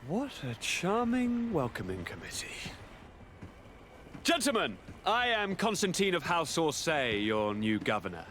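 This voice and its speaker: An actor playing a theatrical, pompous and enthusiastic young noble governor in a video game, with flowery speech. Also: male voice, fairly high pitch, wildly swooping pitch, unhurried, a bit bright, a little hiss or hum, full character voice. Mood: bemused, haughty.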